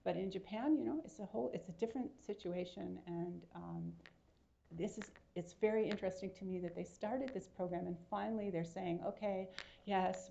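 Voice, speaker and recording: A woman with a low, gravelly voice fields questions at a seminar. She explains at length and checks in with her listeners with little tag questions. The sound is dull and lo-fi. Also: dark tone, slight background noise. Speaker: invited researcher answering audience questions. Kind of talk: seminar Q&A answer.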